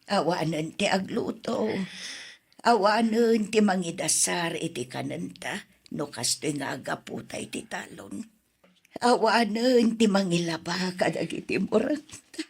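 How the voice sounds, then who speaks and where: A woman's voice: mid-range voice, measured pace, vocal fry, breathy edge. A woman playing a grieving, doting elderly grandmother in an Ilocano radio drama, coaxing tenderly and with emotion. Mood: weary. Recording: sentimental music behind the voice.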